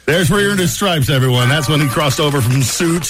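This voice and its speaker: A man's voice: a little high, lively intonation, fairly quick, music in the background. A man hosting a morning radio show, chatting and teasing his guests in a casual, upbeat way from the studio.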